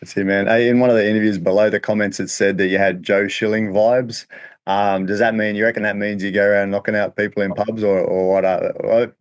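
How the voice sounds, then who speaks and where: A man with a fairly low voice hosts a casual interview. He talks quickly and informally, putting questions and remarks in a matey, slangy style. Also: flat delivery.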